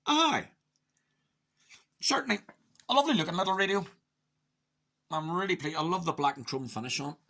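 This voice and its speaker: Bright, husky man giving a hobbyist demo. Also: high voice, expressive, a little fast, pauses often. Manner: chatty, opinionated, mutters to himself. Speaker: radio hobbyist presenting a review. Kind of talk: casual hands-on product demonstration.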